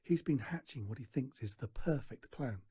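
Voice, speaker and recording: A man reading a children's story aloud in an expressive narrating style. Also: medium pitch, moderate pace, slightly rough, dry recording, clean studio sound. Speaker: storybook reader.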